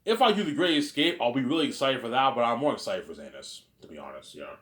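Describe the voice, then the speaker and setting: A man's voice: mid-range voice, big pitch swings, fast, a bit bright. A man from Canada with a mild Canadian accent, talking in a rambling casual monologue that often repeats and restarts words.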